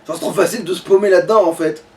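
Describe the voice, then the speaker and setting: High-voiced grumbling man, distant from the mic. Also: very fast. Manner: grumbling, sweary. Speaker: gaming let's play player. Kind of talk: casual game commentary. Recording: distant mic, game music underneath.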